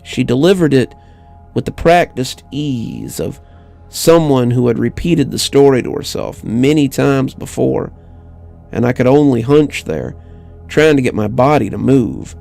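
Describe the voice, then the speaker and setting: A man reading a scripted horror story in a measured, dramatic manner as the narrator. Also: medium pitch, expressive, creaky, darkish tone. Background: music bed.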